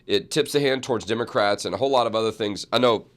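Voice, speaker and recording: Hoarse, rambling host. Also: male voice, lowish voice, quick pace. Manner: rambling, self-correcting, frequent asides. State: hoarse from a cold. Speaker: talk show host. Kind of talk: casual talk show chat and monologue.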